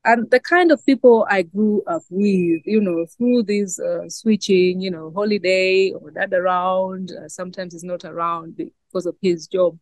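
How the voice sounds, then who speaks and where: A woman's voice: lowish voice, steady tone, a little slow, hardly any pauses, a little mellow, no echo, low-quality recording. A young Ugandan woman journalist with a Swahili-influenced East African accent, answering interview questions thoughtfully with many fillers over a video call. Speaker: guest journalist. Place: outdoors by a garden.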